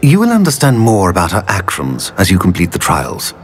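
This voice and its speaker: An actor playing a grave, courteous old wizard professor in a fantasy game, speaking in a formal, measured manner. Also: male voice, low voice, huge pitch swings, slight background noise. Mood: solemn. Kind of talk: scripted fantasy game dialogue.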